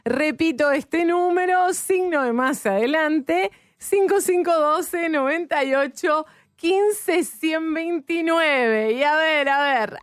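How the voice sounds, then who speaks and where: A woman's voice: high-pitched, no echo, studio quality. A woman co-hosting a radio health show, bubbly and enthusiastic. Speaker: radio co-host.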